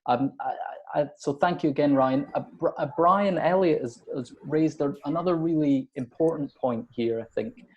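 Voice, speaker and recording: A man's voice: on the high side, moderate pace, lo-fi sound. A man moderating an online webinar over a video call, hesitant and repeating his words as he calls on people.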